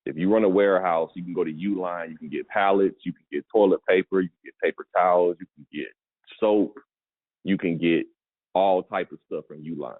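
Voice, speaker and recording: A man's voice: deep voice, brisk, no echo. A man coaching in a casual, conversational way, often checking that the listener follows, as in a business advice call. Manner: conversational, repeats check-in questions for understanding. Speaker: pallet business coach advising a caller. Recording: narrow phone-like call audio.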